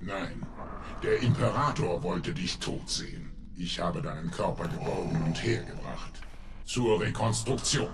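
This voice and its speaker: A menacing game villain with a very deep voice. He commands his apprentice sternly and deliberately. The sound is echoey, with faint noise behind it. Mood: cold, commanding. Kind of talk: dramatic game dubbing.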